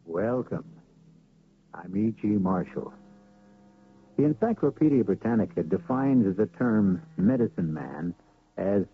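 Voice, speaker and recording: A man opening a radio mystery drama as host, with grave, deliberate narration. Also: lowish voice, vocal fry. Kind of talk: scripted narration. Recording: tinny lo-fi old radio broadcast.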